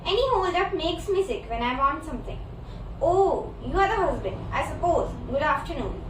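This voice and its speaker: A woman's voice: sits high, animated, moderate pace. A young woman student playing a brusque, impatient American buyer in a stage comedy reading, blunt and demanding. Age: young adult. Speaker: student actor. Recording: distant mic, echoey room, loud noise.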